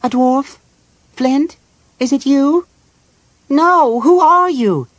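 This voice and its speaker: A small, frightened kender from a fantasy audiobook. The voice is high and thin, pleading like someone helpless. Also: male voice, extremely slow, a bit bright, no room sound, exaggerated voice.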